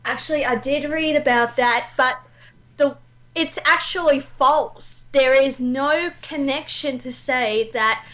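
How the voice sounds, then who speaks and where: A woman with a high voice chats informally as a guest. She is opinionated and puts firm emphasis on her points, in the manner of a devoted fan. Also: slow, a bit bright, poor audio. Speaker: guest voice actor. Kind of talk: casual chat, opinionated fan discussion.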